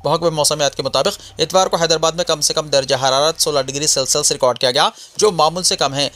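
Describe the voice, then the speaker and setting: Male news reader, slightly high-pitched voice, formal delivery of scripted bulletins. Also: quick pace, a bit bright.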